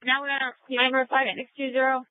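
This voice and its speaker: A woman's voice: sits high, completely flat delivery, fast, slight background noise. A woman dispatching over police radio in a clipped, procedural way. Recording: narrow, tinny police scanner radio.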